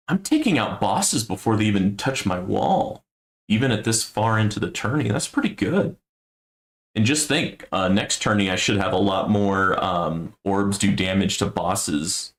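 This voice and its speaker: A man giving casual gameplay commentary on a stream, thinking aloud in a low-key, musing way with a gravelly voice. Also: expressive, a little slow, fairly bright tone. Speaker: game streamer.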